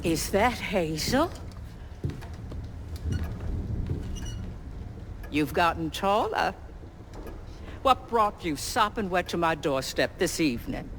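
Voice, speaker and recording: An actress playing an imperious, genteel elderly grandmother in a video game, with an American Southern accent and a haughty, measured, commanding delivery. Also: lowish voice, big pitch swings, background noise. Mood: haughty, displeased. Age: older. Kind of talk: video game cutscene acting.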